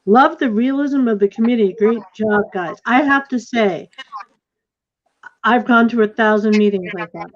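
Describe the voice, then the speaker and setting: High-voiced effusive woman hosting, dull audio. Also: moderate pace. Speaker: host and play director. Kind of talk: informal online event hosting. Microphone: video call. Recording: dull, lo-fi video call audio.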